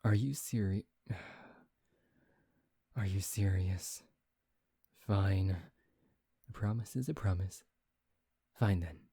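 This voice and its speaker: A teasing, seductive male vampire, acted by a man for an ASMR roleplay. The voice is dark and mellow and moves slowly, with intimate, formal phrasing. It sounds very dry and close. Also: low-pitched, gravelly, breathy edge, no room sound, exaggerated voice. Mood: exasperated, bargaining. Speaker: voice actor.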